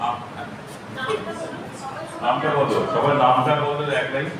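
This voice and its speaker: A man's voice: medium pitch, steady tone, measured pace. A man with a West Bengal Bengali accent instructing a class on microphone use in a directive, repetitive way. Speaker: recitation workshop trainer. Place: workshop hall with microphone. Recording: echoey noisy hall, distant mic.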